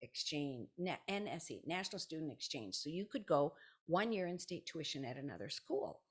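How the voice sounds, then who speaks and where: A woman in her sixties with an American accent and a low-pitched, slightly rough voice. She chats casually through her own stories, circling back, breaking off sentences and voicing what others said. She comes across as a guest in a relaxed conversation. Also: normal speed. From South Dakota, United States. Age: 60s. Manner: rambling, self-interrupting, anecdotal with quoted dialogue. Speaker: podcast guest, retired kindergarten teacher.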